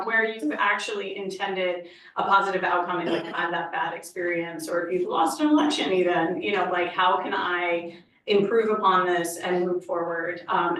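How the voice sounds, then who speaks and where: A woman's voice: mid-range voice, fairly bright tone, a little hiss or hum. A woman speaking as a panelist, giving an earnest, reflective answer at a community event. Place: community center hall. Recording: echoey hall from far off.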